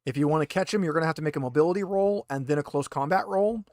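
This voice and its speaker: A man's voice: on the high side, very fast, darkish tone, no room sound, studio quality. A man narrating as game master in a casual, conversational way, explaining rules to players.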